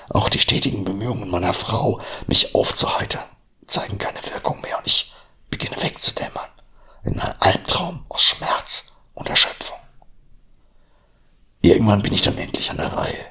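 A man with a low, husky voice hosts his podcast and reads his own short horror story aloud. He keeps his narration hushed and often drops to a breathy half-whisper. Gravelly rasp runs through his deep tone. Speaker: podcast host.

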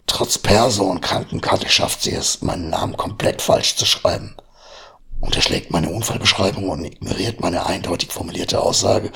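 A man hosting a podcast and reading his own short horror story in a hushed, half-whispered narration, with a husky voice. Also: sits low. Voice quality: husky, gravelly. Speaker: podcast host.

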